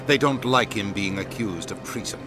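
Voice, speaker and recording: A man's voice: lowish voice, moderate pace, natural voice. An actor voicing a stern, lecturing male ambassador. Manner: stern, scolding. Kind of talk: video game voice acting. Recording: game audio over music.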